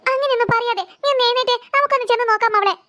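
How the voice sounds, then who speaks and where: A woman's voice: very high-pitched, rapid-fire, bright, forceful tone. A woman voicing a caring child friend in a children's cartoon, pleading and insistent.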